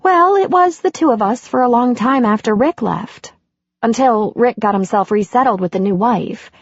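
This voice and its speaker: A woman voicing a warm, anxious middle-aged woman in an audiobook, talking brightly and quickly. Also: no echo. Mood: eager. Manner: bright and eager, higher than her narration. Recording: dry, dull lo-fi audiobook recording.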